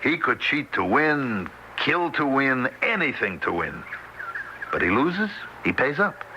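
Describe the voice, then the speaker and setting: A man's voice: medium pitch, big pitch swings, unhurried, lo-fi sound. An actor playing a weary, rueful, well-meaning ordinary man in a 1970s radio drama, talking in an earnest, conversational way. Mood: earnest, scheming. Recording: noisy old 1970s radio broadcast.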